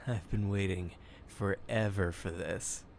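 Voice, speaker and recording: Cool young slacker, low creaky voice, slow drawn-out lines, drowsy, visual novel game, music behind. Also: male voice, steady tone, darkish tone, heavily acted. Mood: casual, perking up. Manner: drowsy, nonchalant, slangy. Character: laid-back, groggy young rich guy, nonchalant cool dude.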